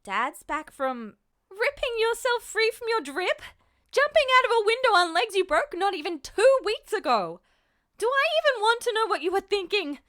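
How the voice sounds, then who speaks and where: A woman's voice: extremely high pitch, very animated, moderate pace, a bit bright, voice pushed up, full character voice. A woman voicing a distraught, exasperated mother in a fan fiction audiobook, scolding with emphatic, reproachful delivery. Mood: upset, scolding. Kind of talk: acted dialogue in an audiobook-style fan fiction reading.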